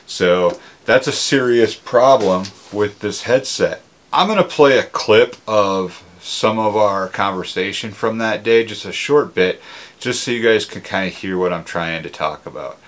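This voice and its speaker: A man's voice: fairly low pitch, a little slow, fairly bright tone. A man gives a casual, conversational, plain-spoken product review. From Minnesota, United States. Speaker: motorcycle gear reviewer.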